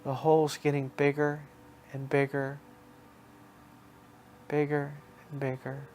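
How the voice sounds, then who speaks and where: A man's voice: on the high side, monotone, slow, darkish tone, a little hiss or hum. A man telling a guided relaxation story, repeating phrases in a soothing, hypnotic manner. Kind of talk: guided hypnosis imagery narration.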